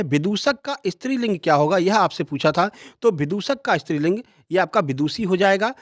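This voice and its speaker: A man's voice: on the high side, dry recording, studio quality. A man explains exam questions and answers as a coaching teacher, instructively repeating key points for emphasis.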